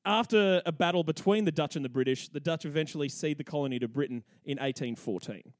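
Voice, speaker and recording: A man's voice: on the high side, quick pace, dry recording, studio quality. A young man hosting a podcast, telling history in a cheeky, irreverent way and laughing often. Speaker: podcast host. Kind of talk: comedic history storytelling.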